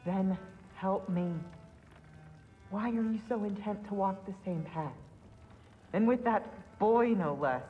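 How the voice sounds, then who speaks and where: A woman's voice: medium pitch, slow pace, long pauses, long reverb tail, phone-quality sound, faint music behind, natural voice. An actress playing a worried queen who speaks earnestly and firmly to her family. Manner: earnest and firm.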